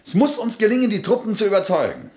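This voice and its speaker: An actor playing a determined young revolutionary leader, urgent and insistent. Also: male voice, sits high, huge pitch swings, fast talker, a little mellow, roomy sound, exaggerated voice. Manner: urgent, insistent, rising fervor. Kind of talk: radio drama acting.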